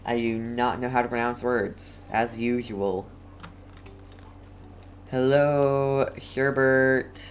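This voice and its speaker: A game streamer giving casual commentary in a rambling, hesitant way, with halting phrases. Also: mid-range voice, fairly even intonation, a little hiss or hum.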